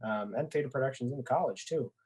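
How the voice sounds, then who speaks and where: A young man with a mid-pitched voice speaks as a guest on a podcast. His delivery is casual and keen, like someone sharing a favorite interest. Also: fast, darkish tone, breathy edge. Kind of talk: casual chat.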